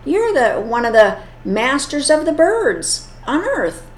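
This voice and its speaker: Lady doing a wise old eagle guy, earnest and pushy, pitched up a bit, roomy echo. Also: big pitch swings, takes it slowly, exaggerated voice. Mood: urging. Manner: earnest, urging. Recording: echoey room.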